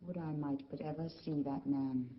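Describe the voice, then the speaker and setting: A woman's voice: sits low, flat delivery, normal speed, slight background noise, lightly acted. A woman playing an earnest young daughter who speaks politely in theatrical Shakespearean verse for an audio drama. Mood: earnest, anxious. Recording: muffled lo-fi audio.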